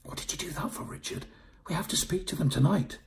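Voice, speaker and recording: An anxious, peeved man on a secret night errand voiced by a male storyteller. He questions everything urgently, in a creaky, gravelly voice from a storybook. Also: medium pitch, expressive, fairly quick, mellow, slight background noise, voice pushed up. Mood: peeved, hushed.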